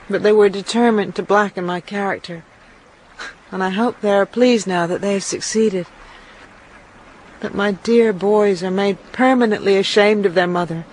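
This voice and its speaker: An actress playing a disgraced, grieving mother in a radio drama, speaking in a wounded, formal, deliberate way. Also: medium pitch, a little mellow, a little hiss or hum, lightly acted, poor audio. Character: disgraced, bitter, grieving mother, a fallen woman.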